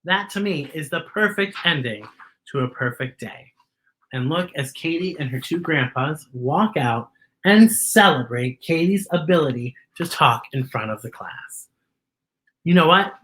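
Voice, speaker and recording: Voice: mid-range voice, expressive, measured pace, a bit bright, poor audio. A children's story time host reading aloud and talking to young viewers in an enthusiastic, emphatic way. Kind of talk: animated read-aloud to children.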